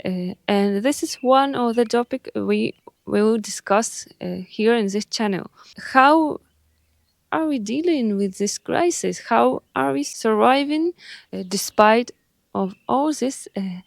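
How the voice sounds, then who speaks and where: A woman in her thirties from Ukraine, introducing herself on her vlog in English, unhurried and thoughtful with frequent hesitations. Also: fairly high pitch, lively intonation, vocal fry, dry recording, studio quality. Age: 30s. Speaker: vlogger.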